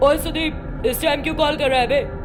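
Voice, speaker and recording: A woman voicing a brash but concerned male friend in a story, loud, slangy and exclamatory. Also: high voice, steady tone, brisk, pitched above the performer's own voice, lightly acted. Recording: loud music behind voice.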